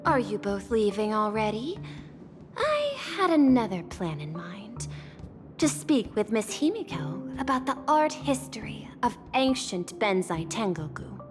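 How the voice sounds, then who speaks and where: A woman voicing a composed, courteous, calculating corporate envoy in a game, with formal, measured, polite delivery. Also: mid-range voice, sing-song. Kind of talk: scripted game voice acting. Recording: long reverb, music behind.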